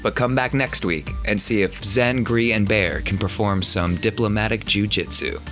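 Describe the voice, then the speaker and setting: A man's voice: fairly low pitch, normal speed, a bit bright. A man acting as game master, narrating a tabletop roleplaying game in a casual, explanatory way. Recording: faint music behind the voice.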